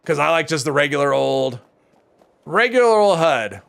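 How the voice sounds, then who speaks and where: A man with a somewhat high voice and a gravelly edge. He chats casually with viewers while playing a game on a live stream, answering their questions as he goes. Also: sing-song, normal speed, fairly bright tone. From United States. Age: older than high school, adult.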